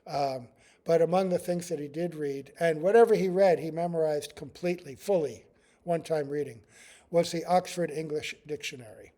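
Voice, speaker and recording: A man giving a devotional class, talking in a relaxed and rambling way. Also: fairly high pitch. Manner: chants prayers slowly, then talks in a relaxed, rambling way. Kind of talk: devotional class with chanted prayers.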